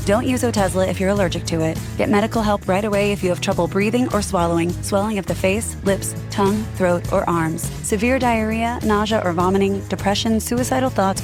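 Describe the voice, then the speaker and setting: A woman announcer reading ad copy and disclaimers in a brisk, matter-of-fact way. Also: medium pitch.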